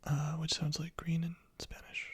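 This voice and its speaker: A teacher giving a whispered ASMR lesson, softly repeating each word many times in a breathy, husky whisper. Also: medium pitch, monotone, normal speed, no echo. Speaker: language teacher.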